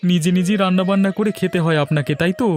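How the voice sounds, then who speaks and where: Man acting a quick, higher-pitched village family man. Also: darkish tone, faint music behind, lightly acted. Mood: concerned. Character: village family man, bossy but charitable. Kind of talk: cartoon fairy-tale voice acting.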